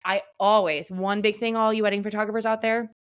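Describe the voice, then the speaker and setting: A woman co-hosting a podcast, chatting casually and telling stories in a loud, animated way as a wedding photographer. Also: mid-range voice, fairly quick, smooth. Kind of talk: casual chat.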